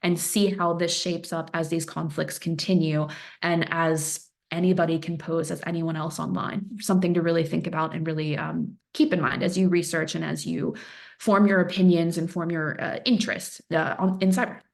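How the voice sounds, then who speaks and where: An earnest woman gives a webinar briefing as an analyst, leaning on words and repeating phrases to drive points home. Her voice sits a little low for a woman, and she talks steadily with the odd "um". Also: flat delivery, normal speed, creaky. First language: Farsi speaker. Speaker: threat intelligence analyst presenting. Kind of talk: webinar presentation.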